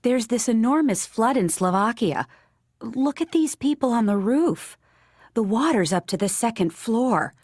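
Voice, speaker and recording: A woman reading both parts of a scripted textbook dialogue in a plain, even way. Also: fairly high pitch, unhurried, dry recording, clean studio sound. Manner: plain, even read-aloud delivery.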